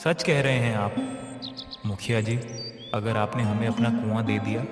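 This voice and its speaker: A man's voice: on the low side, steady tone, normal speed, lightly acted. A man voicing a distressed, respectful village man in a cartoon, earnest and pleading. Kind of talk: Hindi moral story cartoon dub. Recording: echoey reverb with clear background music.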